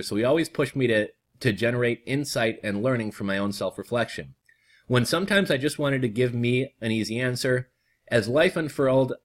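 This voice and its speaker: A hoarse young man who reads an article aloud on his vlog and drifts into loose, casual chatter full of fillers. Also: mid-range voice. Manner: reads steadily, then chats loosely with fillers. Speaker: vlogger.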